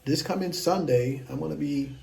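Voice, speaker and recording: A man's voice: fairly high pitch, normal speed, gravelly, darkish tone, slight background noise. A man in his fifties, talking casually to camera as an investing vlogger, blunt, self-assured and rambling. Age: 50s.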